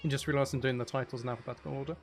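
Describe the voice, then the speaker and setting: A man's voice: mid-range voice, steady tone, rapid-fire. A man chatting casually as he hosts a gaming livestream, with a playful, silly manner and a habit of repeating phrases. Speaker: livestream host playing a video game.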